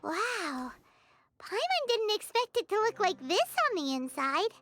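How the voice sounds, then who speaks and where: A tiny floating fairy companion with a piercingly high, squeaky voice that bounces all over. She is excitable and chirpy, and sounds like a game sidekick. A little music plays underneath. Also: measured pace, exaggerated voice. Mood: curious. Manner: excitable, talks of herself by name. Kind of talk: game voice acting.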